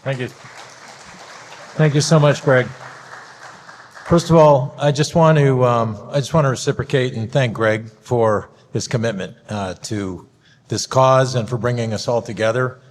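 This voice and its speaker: A man moderating a conference panel, introducing the speakers with many halting fillers. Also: mid-range voice, a little hiss or hum. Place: conference hall. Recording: reverberant hall.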